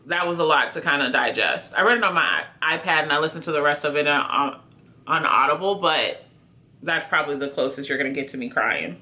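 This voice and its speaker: A woman's voice: sits low, sharp tone. A woman talking casually to viewers as a book reviewer, chatty and informal with a self-deprecating way. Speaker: book reviewer video host. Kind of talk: casual chat to camera, answering prompts.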